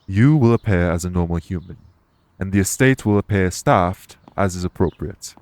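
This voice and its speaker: A man playing a courteous former king turned fae official in an audio roleplay, speaking formally and with measured reassurance. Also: deep voice, darkish tone. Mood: welcoming, reassuring. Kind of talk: audio roleplay spoken to the listener.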